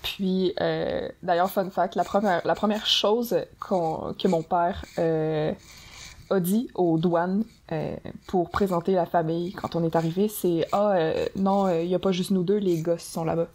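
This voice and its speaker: Relaxed young woman from France, chatty. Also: on the low side, a little slow. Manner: relaxed storyteller with many fillers. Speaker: podcast guest. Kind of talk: casual chat.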